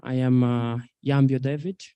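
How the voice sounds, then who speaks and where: A man's voice: medium pitch, steady tone, dark tone, clear, resonant tone, no echo, dull audio. Earnest young man from South Sudan. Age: 20s. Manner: earnest, halting, with frequent fillers. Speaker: invited activist speaker. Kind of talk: personal testimony at a public talk.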